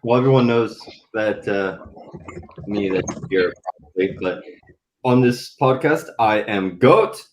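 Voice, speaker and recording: Low-voiced man from Florida. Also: unhurried, reverberant, noisy background. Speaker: podcast guest. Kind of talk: casual group podcast chat.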